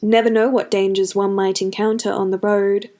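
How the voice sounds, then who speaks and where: Female narrator for fantasy fiction, mid-range voice, expressive scripted storytelling. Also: mid-range voice, normal speed.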